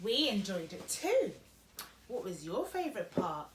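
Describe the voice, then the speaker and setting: Woman reading slowly to young children. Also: medium pitch, sing-song, background noise. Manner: addresses young children directly. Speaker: host reading a picture book to children.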